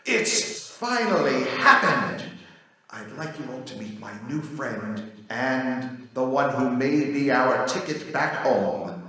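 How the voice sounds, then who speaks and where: An actor playing a grandiose, menacing reptilian leader in an audio drama, declaiming theatrically. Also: male voice, mid-range voice, sing-song, slow, bright, forceful tone, slight background noise, heavily acted. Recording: echoey hall, distant, music behind.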